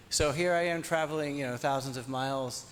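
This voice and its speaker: A man's voice: on the high side, moderate pace, darkish tone, long reverb tail, a little hiss or hum. A man in the audience asking a question, earnest and self-deprecating.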